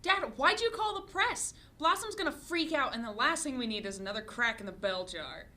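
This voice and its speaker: A woman's voice: high voice, animated, fairly quick, bright, forceful tone. A woman playing a cynical, bitter ex-superhero in her twenties, sarcastic and blunt, in a comedic table read. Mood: irritated.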